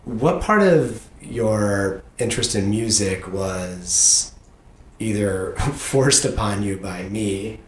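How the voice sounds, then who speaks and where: A man's voice: fairly low pitch, animated, slow. A middle-aged man hosting a casual conversational interview in a reflective, anecdotal way. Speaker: podcast host and parent interviewing their child. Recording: roomy echo, faint background noise.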